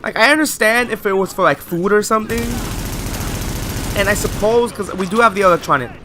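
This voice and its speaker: A man's voice: high voice, expressive, brisk, bright, forceful tone, slight background noise. A man giving post-recorded commentary over gameplay, chatty and rambling, often asking viewers questions. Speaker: let's play host.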